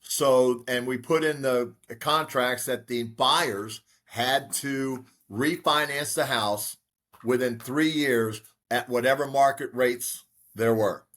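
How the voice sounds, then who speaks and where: Older man telling his story, steady. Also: medium pitch, takes it slowly. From United States. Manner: steady, reflective, frequent fillers. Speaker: guest, real estate broker. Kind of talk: conversational interview storytelling.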